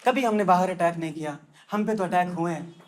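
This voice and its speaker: A man's voice: high voice, a little fast. A young man from Delhi doing a conversational stand-up comedy set and briefly acting out the voices of locals. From Delhi, India. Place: comedy club stage with live audience. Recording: live crowd laughter. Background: audience laughter.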